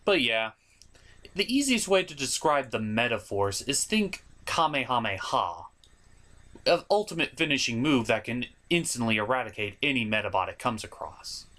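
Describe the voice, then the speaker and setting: Male, review show host, lively swooping intonation, forceful bright tone, blunt, opinionated, casual. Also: mid-range voice, normal speed. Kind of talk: enthusiastic scripted video review with casual asides.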